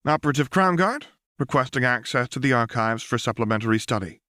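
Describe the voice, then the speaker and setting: A man's voice: mid-range voice, expressive, sharp tone, no echo, clean studio sound, pitched above the performer's own voice. A man voicing a courteous, determined female secret operative with formal diction in a story reading. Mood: crisp, respectful. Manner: polite, formal, measured diction.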